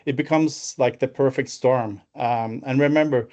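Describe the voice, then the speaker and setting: A man's voice: medium pitch, fairly even intonation, normal speed, a little mellow. A man in his fifties from Norway with a Norwegian accent, presenting a business webinar from an office over a video call, with many fillers and restarts. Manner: fluent with frequent fillers and restarts. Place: office, alone. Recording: muffled lo-fi call audio.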